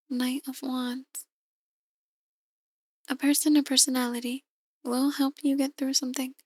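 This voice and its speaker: A woman playing a soft-spoken, ominous demon tarot reader in an ASMR roleplay, speaking in a measured, breathy near-whisper. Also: sits high, no echo. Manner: slow, measured, gently ominous. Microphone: close, dry microphone.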